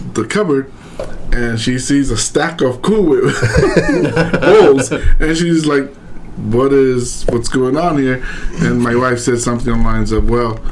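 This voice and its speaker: A man's voice: mid-range voice, low-quality recording. A man from Samoa telling casual anecdotes as a podcast co-host, with a jovial, easily amused manner. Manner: jovial, laughs readily while talking. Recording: clear steady background noise.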